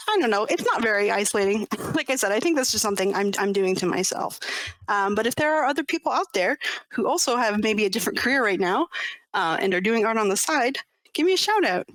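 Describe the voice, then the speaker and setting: A woman's voice: on the high side, fairly bright tone, no room sound. A woman in her thirties, a webcomic creator, talking as an interview guest in a hesitant, laughing way, with many ums and restarts. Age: 30s. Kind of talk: casual interview chat.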